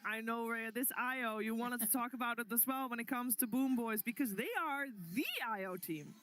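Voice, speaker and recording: A woman's voice: a little high, steady tone, fairly quick, fairly bright tone. A woman presenting a live esports event as stage host, with dramatic, emphatic announcing.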